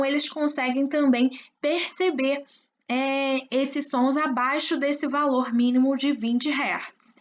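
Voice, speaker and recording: High-voiced woman teacher, lo-fi recording. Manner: explanatory, addresses students with frequent check-ins. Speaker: science teacher. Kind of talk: recorded class explanation. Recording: dull lo-fi recording.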